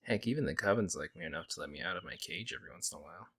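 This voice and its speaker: A man playing a friendly young werewolf, a lone wolf who talks casually and teases gently in an ASMR audio roleplay. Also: low voice, expressive, racing, husky, heavily acted. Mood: casual, musing. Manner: casual, hesitant musing, gently teasing. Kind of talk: ASMR audio roleplay, intimate conversational acting.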